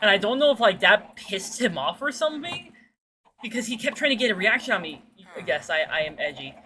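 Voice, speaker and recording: A woman chatting casually as a streamer, telling stories in a slangy, sweary way and acting out what people said. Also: fairly quick. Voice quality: fairly deep-sounding for a woman. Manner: slangy, sweary, animated retelling with acted-out quotes. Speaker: streamer chatting with viewers while gaming. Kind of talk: casual chat, rambling storytelling.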